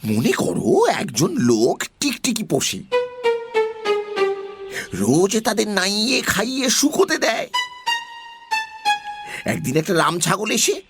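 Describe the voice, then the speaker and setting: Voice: medium pitch, huge pitch swings, takes it slowly, long pauses. A performer playing a giddy nonsense creature in an audio story, breathless and bursting with laughter. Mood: giddy amusement. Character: giddy giggling nonsense creature, neither man, ape nor owl. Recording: loud music under the voice.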